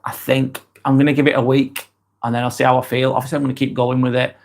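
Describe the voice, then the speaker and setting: A man's voice: medium pitch, little pitch movement, fast talker. A man from East Lancashire with a Lancashire accent, chatting casually on a livestream vlog and reading out viewer comments. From East Lancashire, England. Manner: chatty and rambling, with frequent ums and restarts. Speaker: livestream vlogger.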